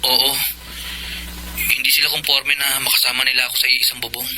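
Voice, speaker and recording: Guy acting meek honest young lad, filipino radio drama, soft hesitant manner, noisy background. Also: medium pitch, little pitch movement, a little fast, close to an everyday voice. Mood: hesitant, resigned. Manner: hesitant, gentle, submissive. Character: meek, forbearing, honest poor young boy.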